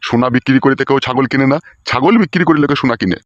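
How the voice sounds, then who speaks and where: A man's voice: mid-range voice, racing, no room sound, dull audio, close to an everyday voice. A man voicing a grumpy, suspicious old village father in a comedy cartoon. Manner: grumbling, crude.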